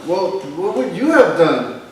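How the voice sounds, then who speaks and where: A man's voice: high-pitched, big pitch swings, measured pace. A man playing a skeptical young guy who casually argues in defense of police in a staged play reading. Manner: casual, argumentative. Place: theater hall, recorded from the audience. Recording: roomy, distant stage recording with faint noise.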